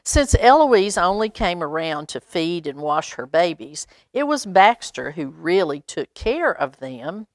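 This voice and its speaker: A woman reads a picture book to young children in a fairly low voice. She takes her time and slips in chatty, expressive asides. The sound is close and dry. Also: unhurried, few pauses, no room sound. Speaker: library storytime reader.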